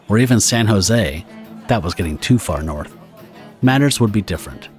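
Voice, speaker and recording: A man narrating a pulp horror audio drama from a script. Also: lowish voice. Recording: guitar music behind the narration. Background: plucked guitar music.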